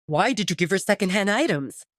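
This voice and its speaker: A woman's voice: medium pitch, animated, fairly quick, no room sound, clean studio sound, close to an everyday voice. A woman voicing a protective, brooding male leader with a terse, questioning manner in a romance audio drama. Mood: concerned.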